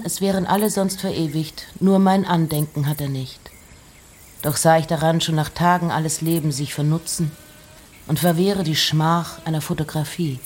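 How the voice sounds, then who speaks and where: An actress playing a reclusive, wry woman poet, reading letters and poems in a measured, deliberate literary radio style. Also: sits low, darkish tone, a little hiss or hum. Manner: measured, deliberate, pausing between short phrases.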